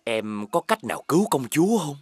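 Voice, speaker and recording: A man playing a sly, wheedling older sworn brother in an animated fairy tale, with a southern Vietnamese accent and an ingratiating, theatrical manner. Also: a little high, fairly quick, a little hiss or hum. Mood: pleading.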